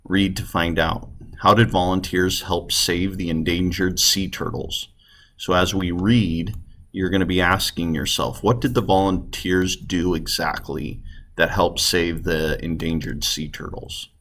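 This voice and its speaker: A man with a low-pitched voice speaks as a teacher leading a class through a reading lesson. His delivery is plain and instructional, giving directions to follow along. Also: steady tone, a little slow, a bit bright.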